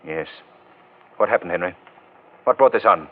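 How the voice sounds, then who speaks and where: Cool amateur detective, 1940s radio drama, lowish, wry and authoritative, phone-like sound. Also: male voice, expressive, normal speed. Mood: grave. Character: cool, wry, assured gentleman sleuth. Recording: hissy, tinny old radio recording.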